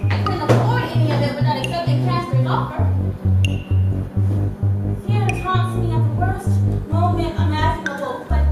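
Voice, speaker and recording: A woman's voice: very high voice, sing-song, normal speed. A woman playing a conflicted, earnest young circus performer, wary and reflective, on a theater stage. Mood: troubled resolve. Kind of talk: stage play acting, dramatic monologue. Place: indoor theater stage, distant mic. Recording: distant echoey theater, loud music behind.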